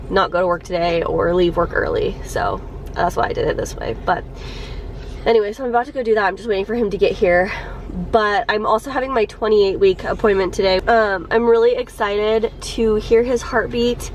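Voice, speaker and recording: A woman's voice: medium pitch, brisk, a little hiss or hum, rough recording. A young woman vlogger with a cold and a stuffed-up nose, chatting casually to her camera from a parked car, with lots of ums and likes. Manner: chatty, many ums and likes, laughs a little. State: congested from a cold.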